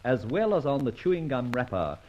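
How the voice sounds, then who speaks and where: An actor playing a suave, playful amateur sleuth in an old-time radio detective drama, with flowery, teasing speech. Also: male voice, mid-range voice, very animated, fairly quick, darkish tone, natural voice. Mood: jaunty, assured. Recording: dull, muffled old radio recording.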